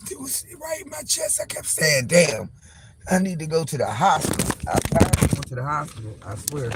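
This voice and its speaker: Hoarse man with a gravelly chest cold. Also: fairly high pitch, sing-song, normal speed, very noisy. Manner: rambling, profane, frank. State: hoarse, losing his voice. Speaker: vlogger. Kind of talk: casual vlog monologue. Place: parked car.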